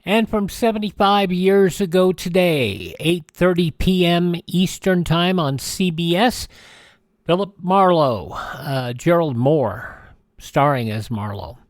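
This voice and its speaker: A man's voice: sits high, takes it slowly, dry recording, studio quality. A man hosting a radio program, announcing shows in an informal but scripted way. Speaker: radio show host.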